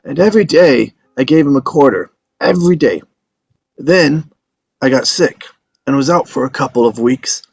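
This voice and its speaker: A man's voice: mid-range voice, expressive, normal speed, vocal fry, a little mellow, husky, no room sound. An actor in a script table read playing an ailing old father, a stubborn, folksy teller of tall tales who dodges with jokes. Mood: playful. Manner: folksy, evasive, joking storyteller.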